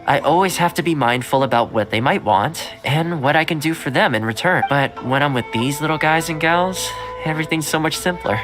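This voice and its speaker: An actor playing a kind, chatty young male servant who loves stray animals, speaking gently and conversationally in a video game. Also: fairly high pitch, fairly quick, hardly any pauses, music in the background, close to an everyday voice. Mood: sincere, warm. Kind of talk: video game voice acting, storytelling dialogue.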